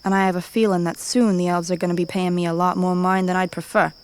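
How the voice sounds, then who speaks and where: A woman's voice: lowish voice, level tone, fast, darkish tone, slight background noise, close to an everyday voice. Lady acting as tough thief queen in a fantasy audio drama, wary, blunt, faint night bug sounds. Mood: resolute. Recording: faint night insect ambience.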